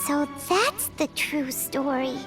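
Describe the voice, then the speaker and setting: Squeaky, very high childlike sprite. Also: female voice, slow. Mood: somber. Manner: exclamatory, expressive. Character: tiny childlike female companion sprite, excitable and cheeky. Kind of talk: video game character acting. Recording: loud music behind the voice.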